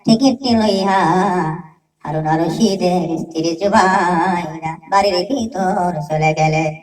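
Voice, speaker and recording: A woman's voice: lowish voice, measured pace, darkish tone, distant mic, soft background music, poor audio. A woman telling a religious story in a dramatic narration, with emphatic repetition of phrases. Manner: emphatic, repeats phrases for effect.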